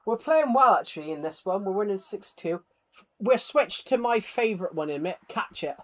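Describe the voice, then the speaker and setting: A man's voice: high-pitched, expressive, normal speed, fairly bright tone. A man chatting casually on a gaming livestream, rambling and self-deprecating, often stammering and repeating his words. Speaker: live streamer. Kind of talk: casual livestream chat while gaming, reading out viewer comments.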